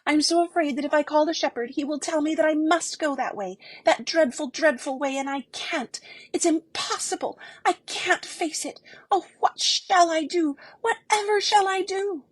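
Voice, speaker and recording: A woman voicing a timid, frightened young woman in a storybook, pleading in a high, strained voice. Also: moderate pace, no room sound, natural voice. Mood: panicked. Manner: panicked, pleading, trembling.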